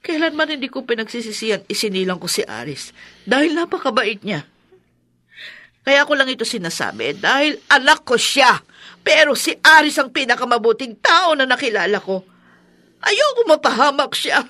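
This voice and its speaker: A woman's voice: high voice, sing-song, normal speed, bright, forceful tone, full character voice. An actress playing an anguished, fiercely protective mother in a Filipino radio horror drama, emotional and declamatory. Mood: anguished, defiant.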